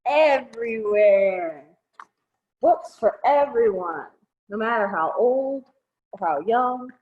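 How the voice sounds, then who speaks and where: A woman's voice: on the high side, a bit bright, lo-fi sound. A woman presenting a children's storytime, reading aloud expressively and explaining things simply for young listeners.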